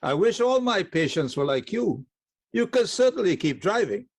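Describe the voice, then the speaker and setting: Man playing a high-voiced, polite doctor. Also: very animated, normal speed, gravelly, slightly rough, full character voice. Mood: pleased, official. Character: upbeat, polite doctor giving test results. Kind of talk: amateur audio play acting.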